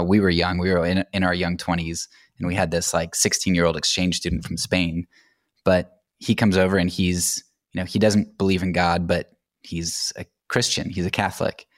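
An American man with a deep, low voice talks as a guest on a podcast. He explains in a casual, anecdotal style, recorded dry and without room sound. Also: no echo. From United States.

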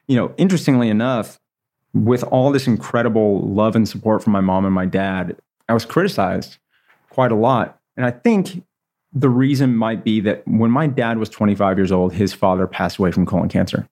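A man's voice: on the low side, a little mellow. A man from Texas, an interview guest telling his story in an earnest, friendly, thoughtful way. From Texas, USA. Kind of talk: conversational interview.

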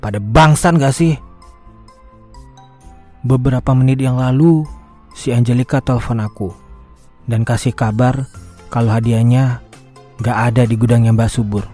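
A man's voice: mid-range voice, a little slow, long pauses, warm tone, faint music behind, close to an everyday voice. Touchy, dramatic young psychic played by a man. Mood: peeved. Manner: exclamatory, slangy, mixing English and Javanese. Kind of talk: audio drama acting.

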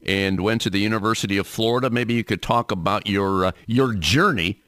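A man's voice: on the low side, a little fast, dry recording, studio quality. A man hosting a union radio talk show, reading sponsor plugs and interviewing a guest in an announcer's manner. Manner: lively announcer delivery, reads sponsor plugs.

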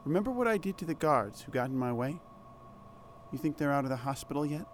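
Man playing a blunt, animated teenage rebel. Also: on the high side, fast, slight background noise, natural voice. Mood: defiant. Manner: blunt, sarcastic, earnest. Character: defiant teenage boy rebel leader. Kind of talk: audio drama acting.

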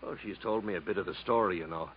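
An actor playing an insinuating, hearty-drinking bungalow owner with mock-polite, domineering manner in 1950s radio style. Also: male voice, deep voice, fast, natural voice. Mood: hostile, taunting. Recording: dull, noisy vintage radio recording.

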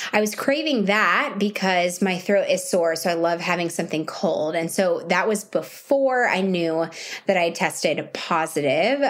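A woman in her thirties with a sore, scratchy voice talks straight to her viewers. Her pitch sits a little low, and she keeps things chatty and easygoing, like she's recording a diary at home while she's sick. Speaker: vlogger. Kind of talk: casual video diary.

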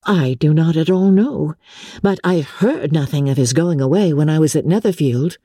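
A woman voicing a witty, outspoken young gentlewoman in a dramatic audiobook, with an emphatic, frank delivery. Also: deep voice, normal speed, breathy edge, no room sound, clean studio sound. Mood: candid. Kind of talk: dramatic audiobook character reading.

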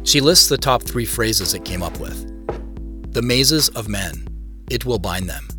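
A man's voice: mid-range voice, animated, unhurried, lightly acted. An actor playing a reflective man who narrates his own story in a scripted first-person style. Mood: dry, matter-of-fact. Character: reflective man recounting his dreams and his search. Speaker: narrator and main character of an audio drama. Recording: music playing behind the voice. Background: music.

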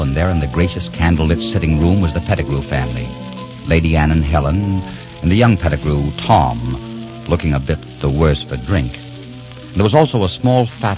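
An actor playing a romantic, earnest American gentleman in a 1940s radio drama, with a courtly, dramatic delivery. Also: sits low. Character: romantic American time traveler posing as an English cousin. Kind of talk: 1940s radio acting, first-person narration and dialogue. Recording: dull 1940s radio recording with music.

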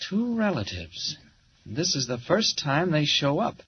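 A brisk, earnest reporter-hero from an old radio serial. His voice is high and swoops widely. It is heard on a dull, muffled transcription. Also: very animated, a little hiss or hum. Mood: coaxing. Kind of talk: 1940s radio acting.

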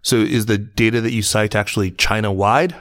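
A man's voice: on the low side, fairly even intonation, brisk, fairly bright tone. A man hosting a podcast, reading a scripted business news roundup. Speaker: podcast host.

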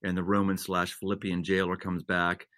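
Pastor guest, male, low and bright tone, dry close sound, preachy build-ups. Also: completely flat delivery, normal speed, dry recording. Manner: builds to emphatic, repetitive preaching cadence. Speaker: senior pastor, guest author. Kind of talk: conversational interview, earnest and preachy.

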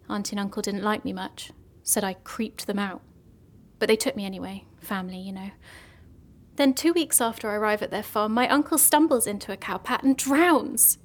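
A shunned young woman, high-pitched, gloomy, earnest, hesitant, morbid, audio drama comedy. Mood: gloomy, matter-of-fact.

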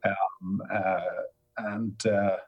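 Man in his fifties, lowish voice, relaxed and hesitant interview guest. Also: extremely slow, lo-fi sound. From Ireland. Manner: easygoing, hesitant, many fillers. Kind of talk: casual interview answers.

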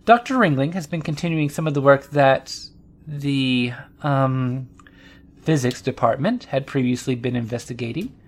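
A woman's voice: rumbling low, normal speed. A woman playing a prim, exacting scientist in an audio drama, speaking formally and precisely with dry superiority. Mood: brisk, formal.

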